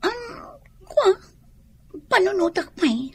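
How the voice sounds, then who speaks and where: A jealous, dramatic young woman in a radio drama. Her high voice leaps across a wide range with shrill exclamations, and her Ilocano accent carries through. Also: very animated, slow, a little mellow, heavily acted. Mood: shocked.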